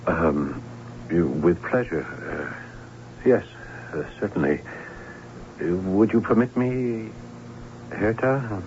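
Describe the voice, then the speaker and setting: A somber young Count, a ghostly bridegroom in a radio play. He speaks low and very slowly, with halting formality. The old recording is dull and hums. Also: a little mellow. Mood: courtly, hesitant. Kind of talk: old radio drama acting. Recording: lo-fi old radio, hum and noise.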